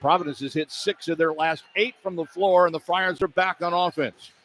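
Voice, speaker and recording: A man's voice: high voice, fairly even intonation, normal speed, a bit bright. A man doing live radio play-by-play of a basketball game, describing the action in clipped running phrases. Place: courtside in a basketball arena. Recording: clear arena noise behind the voice. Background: arena ambience.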